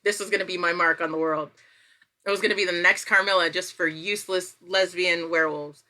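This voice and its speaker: Bright-voiced woman telling casual anecdotes. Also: on the low side, a little fast. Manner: animated, self-deprecating, quotes own thoughts aloud. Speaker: podcast guest, writer and creator. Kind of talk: casual chat, anecdotal storytelling.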